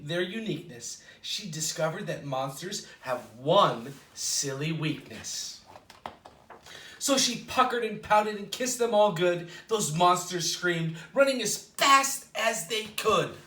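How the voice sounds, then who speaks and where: Bright-voiced man reading to kids, roomy echo. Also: high voice. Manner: expressive, playful delivery with drawn-out dramatic words. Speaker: children's storytime host at a library. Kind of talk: animated picture-book read-aloud for children. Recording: roomy echo, distant microphone.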